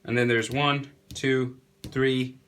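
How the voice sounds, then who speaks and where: A man's voice: mid-range voice, takes it slowly. A young man with a cold, sounding nasal, chatting casually as he talks through a drawing step by step. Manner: relaxed, chatty step-by-step instruction. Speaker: drawing tutor. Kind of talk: narrated drawing tutorial. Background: faint music.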